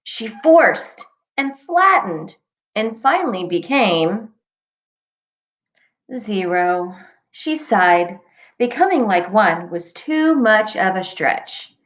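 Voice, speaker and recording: A woman reading a children's storybook aloud like a teacher, with deliberate, expressive phrasing for young listeners, on a dull recording. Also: mid-range voice. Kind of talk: scripted storybook read-aloud.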